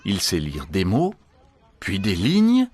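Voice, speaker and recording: A man telling a children's storybook tale in an expressive, theatrical way. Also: sits low, slow, slightly rough. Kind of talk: animated children's storybook narration. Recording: music behind the voice. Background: music.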